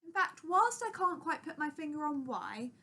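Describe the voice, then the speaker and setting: A woman's voice: sits high, big pitch swings, normal speed, bright, forceful tone, exaggerated voice. A woman with a British accent playing a sceptical, officious traffic cop in a comic radio play. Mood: incredulous. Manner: dry, questioning, sceptical.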